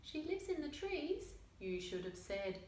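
Helpful picture-book butterfly, as a woman reads it, confident and keen, varied pitch, roomy recording. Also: sing-song, measured pace, a little mellow. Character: eager, helpful, muddled butterfly guiding a monkey. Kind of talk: children's picture book read-aloud. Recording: roomy, distant mic, faint noise.

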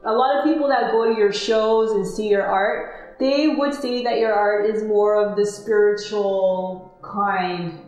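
A woman's voice: medium pitch, steady tone, a little slow, darkish tone. A woman interviewer asking an artist long, curious questions. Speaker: off-camera interviewer. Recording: very echoey room with music behind.

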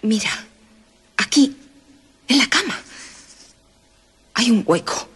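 A woman playing an anxious castle housekeeper in a radio drama, hushed and urgent, with a husky voice. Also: on the high side, lively intonation, slow, full character voice. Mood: hushed alarm. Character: anxious female castle housekeeper whispering to a nursemaid.

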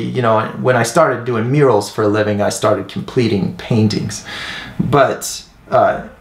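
A man in his thirties talking casually to camera as an instructor, earnest and conversational, pausing to find his words. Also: medium pitch. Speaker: host, mural painter and instructor. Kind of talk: casual talk to camera, answering viewer comments. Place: indoors, room with painted mural wall. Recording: echoey, roomy sound.

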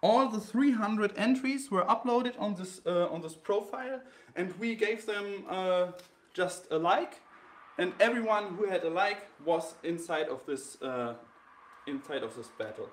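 A man in his thirties from Germany with a German accent, hosting a battle and explaining the rules live to a phone camera, halting with many fillers and restarts. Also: high-pitched, lively intonation, echoey room. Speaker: host of a graffiti sketch battle. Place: indoor room with a wall-mounted bracket.

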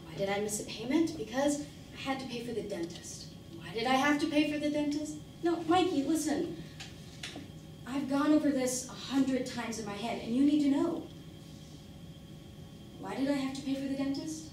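A woman's voice: high-pitched, moderate pace, lightly acted. A woman performing a dramatic monologue as an embittered, struggling working-class mother, defensive and pleading, with emphatic, self-questioning delivery. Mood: weary, defensive. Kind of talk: dramatic stage monologue acting. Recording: very echoey room, distant mic, faint noise.

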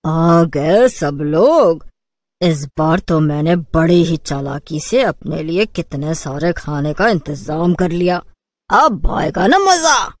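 A woman's voice: sits low, lively intonation, slow pace, slight background noise. A woman voicing a sly, menacing female witch in a cartoon story, gloating and taunting. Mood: sinister glee.

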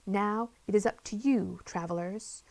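A woman voicing a wise, heroic rabbit in a solemn, measured way. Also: mid-range voice, creaky. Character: wise, heroic rabbit speaking through its eyes.

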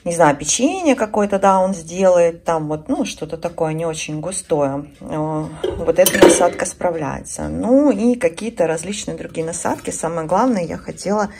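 A woman's voice: fairly low pitch, animated, moderate pace, gravelly, a little mellow, slight background noise. A woman in her thirties chatting casually to her viewers at home, emphatic and conversational, repeating herself for stress. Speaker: beauty and lifestyle vlogger. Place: indoors at home.

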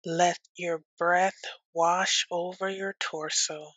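Very slow, soothing woman guiding meditation. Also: on the low side, fairly even intonation, no echo. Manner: soothing, gentle, unhurried with long pauses. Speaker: yoga nidra teacher. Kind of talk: guided meditation.

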